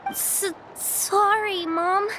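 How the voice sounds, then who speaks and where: Timid little girl, very high voice, extremely slow and halting, meek, video game role. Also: steady tone, pushed and bright, a little hiss or hum, heavily acted. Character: timid, frail little girl, hesitant daughter. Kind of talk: video game character acting.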